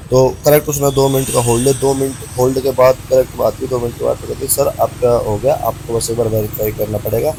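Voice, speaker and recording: A man's voice: mid-range voice, mellow, low-quality recording. A man tells a story casually and colloquially, mixing in English words, as he vlogs from a motorbike. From Haryana, India. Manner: chatty, colloquial, slangy Hindi-English mix, addressing viewers as brother. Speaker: motovlogger. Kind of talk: casual vlog storytelling while riding a motorbike. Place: outdoors, riding a motorbike on the road. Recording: clear road and engine noise. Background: vehicle and outdoor ambience noise.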